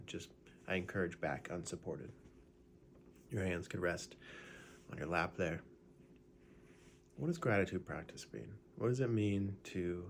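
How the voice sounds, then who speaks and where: A man leading a guided gratitude meditation in a relaxed, conversational way, often adding "right?" and small fillers. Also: deep voice, slight background noise.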